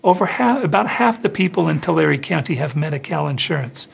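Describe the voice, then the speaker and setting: This is a middle-aged American man explaining services on camera. His voice sits a little high for a man, with heavy vocal fry and a husky, rough edge. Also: expressive, brisk. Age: 40s. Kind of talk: interview explanation for a hospital newsreel. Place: indoor office or meeting room.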